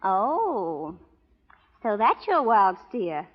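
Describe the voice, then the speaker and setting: An actress playing a prim, spirited young schoolteacher from the East in an old-time radio western. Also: female voice, medium pitch, sing-song, extremely slow, exaggerated voice. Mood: tartly mocking. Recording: dull, reverberant vintage radio broadcast.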